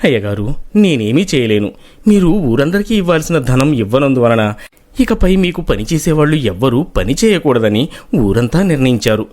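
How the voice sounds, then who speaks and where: A man's voice: medium pitch, big pitch swings, normal speed, a little mellow, natural voice. A man playing a humble, respectful but firm village washerman in storybook dialogue. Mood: apologetic, firm.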